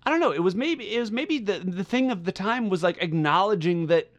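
A man's voice: high voice, expressive, a little fast, highs cut off. A man co-hosting a podcast, giving a casual review and searching for his words as he repeats phrases. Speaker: podcast co-host reviewing music. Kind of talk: casual conversational album review.

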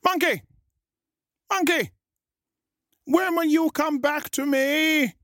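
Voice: medium pitch, big pitch swings, a little slow, no echo, clean studio sound, voice pushed up. A storyteller voicing a gullible, simple-minded shark in a children's tale. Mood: calling, worried. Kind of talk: storybook reading.

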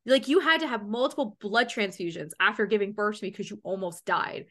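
A woman's voice: mid-range voice, a little fast, bright, forceful tone. A woman in her late twenties from Canada, hosting a casual podcast interview, chatty and swearing freely. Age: 20s. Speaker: podcast host.

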